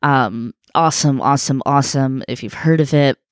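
Woman, low voice, phlegmy, chatty podcast co-host, dry close sound. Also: moderate pace, dry recording. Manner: chatty, laughs often, swears casually. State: congested, phlegmy from illness. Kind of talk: casual chat.

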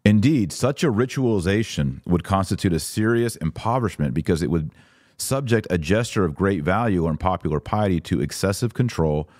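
Male podcast host, low voice in a dry close recording, emphatic and sarcastic commentary. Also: animated, a little fast, darkish tone, no room sound. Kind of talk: commentary with document read aloud.

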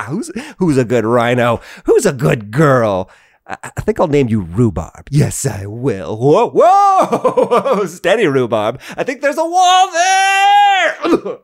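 A man playing an excitable, playful audio-show host who makes his own sound effects out loud. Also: medium pitch, no room sound, clean studio sound, exaggerated voice. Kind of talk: audio drama acting.

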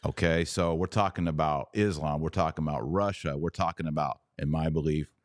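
Low-pitched man, punchy podcast host. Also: fairly even intonation, a little fast, dry recording, studio quality. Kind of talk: casual podcast commentary.

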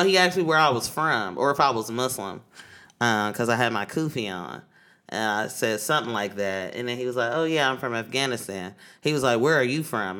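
Man, podcast co-host, from Oakland. Loose, slangy storyteller with a bright voice. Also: medium pitch. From East Oakland, California. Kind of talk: casual podcast chat.

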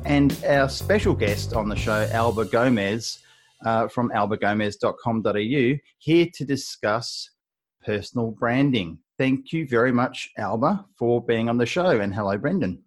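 A man's voice: medium pitch, normal speed, soft background music. Chatty male podcast host. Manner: chatty, rambling with restarts. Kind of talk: podcast interview.

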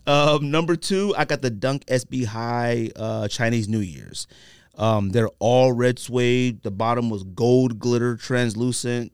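A man's voice: medium pitch, unhurried, clean tone, no room sound, clean studio sound. A man hosting a casual podcast, talking in an informal, slangy, opinionated way. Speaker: podcast host. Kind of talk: casual sneaker podcast chat.

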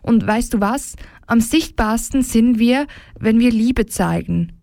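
A woman acts as a homeless woman, the last one with a heart, in a radio drama. Her voice is fairly high and slow, earnest and defiant, in a dry recording. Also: lively intonation, vocal fry, warm tone, dry recording, heavily acted. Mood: earnest protest. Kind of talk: scripted radio drama acting.

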